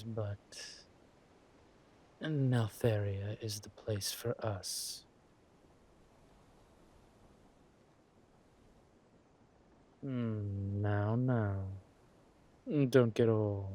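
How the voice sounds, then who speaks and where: A man playing a suave, ruthless villain king in an audio roleplay, murmuring affectionately to his wife with halting, teasing hums. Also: fairly low pitch, a little mellow. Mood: wry, relaxed. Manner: slow, halting, purring hums and teasing drawl. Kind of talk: villain roleplay audio drama, intimate monologue to a partner.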